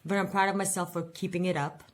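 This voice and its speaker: A woman's voice: fairly low pitch, little pitch movement, fast. Woman in her forties, budget vlogger, rambling, venting, repeats herself. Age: 40s. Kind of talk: casual personal vlog.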